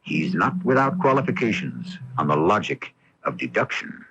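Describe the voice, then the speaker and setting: A man's voice: low voice, sing-song, normal speed, a bit bright, a little hiss or hum. A man hosting a radio mystery program with scripted narration. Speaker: host of a radio mystery show. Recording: dull 1980s AM radio broadcast.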